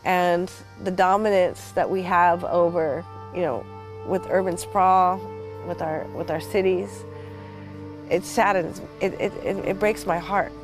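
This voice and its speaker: A woman speaking earnestly and haltingly in a documentary interview, reflecting on loss and the land. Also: on the low side, steady tone, soft background music. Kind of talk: reflective personal testimony.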